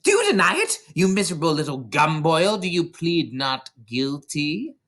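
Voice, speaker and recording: A tyrannical middle-aged headmistress from a children's storybook. She barks and shouts in a high, wildly swooping voice. Every insult is stretched out with furious contempt. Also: sing-song. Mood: furious, scolding. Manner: barking, shouting, drawn-out contemptuous emphasis.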